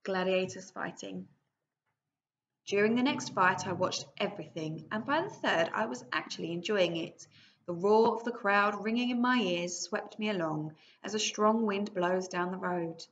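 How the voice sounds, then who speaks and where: Woman teacher, reading aloud to pupils, slow and clear, asks the class questions, middle pitch, slightly dull lo-fi sound. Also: a bit bright, a little hiss or hum. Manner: reads slowly and clearly, stopping to ask the class questions. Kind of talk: reading a book aloud to pupils in a video lesson.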